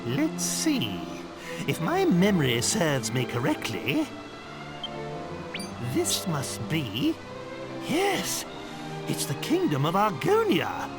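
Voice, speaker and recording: A man's voice: a little high, huge pitch swings, slow, long pauses, exaggerated voice. An actor playing a pompous, doting old king in a video game, with regal, exclamatory phrasing. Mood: musing, commanding. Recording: music playing behind the voice. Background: game music.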